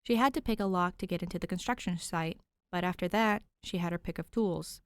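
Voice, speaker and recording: A woman reads a scripted story aloud as its narrator. Her voice sits a little low for a woman. The sound is very dry, without audible room echo. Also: a little fast, clear, resonant tone. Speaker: podfic narrator.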